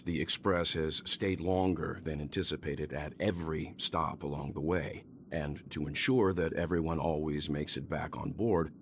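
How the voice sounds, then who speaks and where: Very deep-voiced wise mentor. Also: male voice, slight background noise. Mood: explaining. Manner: measured, thoughtful, articulate. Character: wise, measured older male mentor and ship's navigator. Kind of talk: video game voice acting.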